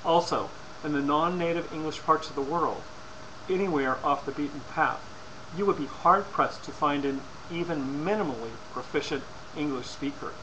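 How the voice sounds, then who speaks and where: A man reading a scripted persuasive lecture in a formal, deliberate way, occasionally stumbling over words. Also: on the high side. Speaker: presenter. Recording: clearly noisy amateur recording.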